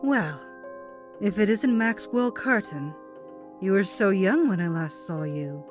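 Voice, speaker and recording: A woman playing an older female scientist with slow, measured speech. She is composed, polite and evasive, a retired heroine in an audio drama with faint music. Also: mid-range voice, lively intonation.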